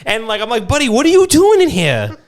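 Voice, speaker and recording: A man's voice: very high-pitched, wildly swooping pitch, brisk, crowd noise. A man co-hosting a podcast, telling stories and joking in casual banter. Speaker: podcast co-host.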